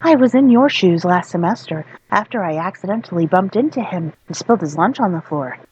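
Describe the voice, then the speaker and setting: A woman's voice: lowish voice, lively intonation, brisk, darkish tone, slightly rough, dull audio, pitched above the performer's own voice. A woman voicing a friendly, apologetic schoolteacher with an effusive, gushing manner in a story reading. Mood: chatty.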